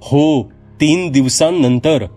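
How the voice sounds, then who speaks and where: A man plays a deferential, fussing housemaid in a storybook tale. The voice is pitched up and respectful, over background music. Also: takes it slowly, mellow. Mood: solicitous. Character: deferential, startled housemaid, solicitous and talkative.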